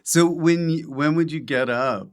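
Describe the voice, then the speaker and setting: A man's voice: fairly high pitch, unhurried, smooth. A man hosting a casual comedy podcast, bantering and joking with his guest. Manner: jokey, bantering. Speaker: podcast host.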